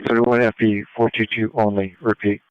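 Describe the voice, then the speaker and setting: A man's voice: fairly low pitch, flat delivery, moderate pace, smooth, dry recording, highs cut off. A man giving procedural police dispatch over a scanner radio.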